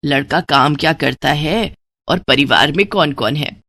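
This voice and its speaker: A woman's voice: sits low, measured pace, fairly bright tone, pitched below the performer's own voice, full character voice. A woman playing a grumbling, sarcastic middle-aged mother in an audio story. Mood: calm, considering. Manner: scolding, sarcastic.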